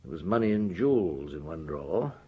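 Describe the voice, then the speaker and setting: A man's voice: sits low, very animated, brisk, slightly rough, phone-quality sound, exaggerated voice. An actor playing a wry French police detective in his fifties in an audio drama, with a dry, musing manner. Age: 50s. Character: wry fifty-year-old French police detective on holiday. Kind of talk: audio drama dialogue and storytelling.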